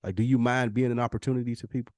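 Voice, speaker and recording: A man's voice: mid-range voice, flat delivery, very fast, darkish tone, no echo. A man co-hosting a podcast in casual chat, teasing his friend and acting out quoted voices. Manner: joking, teasing, acts out quotes. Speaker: podcast co-host.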